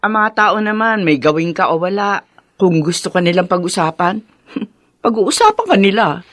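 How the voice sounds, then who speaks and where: An actress playing a practical middle-aged single woman in a radio drama, talking in a measured, plain-spoken way. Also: medium pitch, lively intonation, fairly bright tone, lightly acted. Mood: calm, practical.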